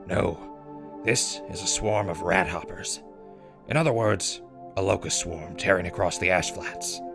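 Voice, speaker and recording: A man playing a wry post-apocalyptic radio host, reading scripted news in a folksy, measured, conversational way. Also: low voice, creaky.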